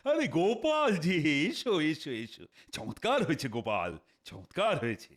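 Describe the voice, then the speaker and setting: Excitable, pompous cartoon king, swooping pitch. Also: normal speed, a little hiss or hum, exaggerated voice. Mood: delighted. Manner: pompous, repeats his words. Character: excitable, gullible, quick-tempered king. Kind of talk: Bengali comedy cartoon dubbing. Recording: music behind the voice. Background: background music.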